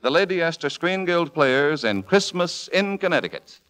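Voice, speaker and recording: A man with an American accent announcing formally and grandly in measured 1940s radio style. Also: a little high, animated. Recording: old 1940s radio recording, music behind. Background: music.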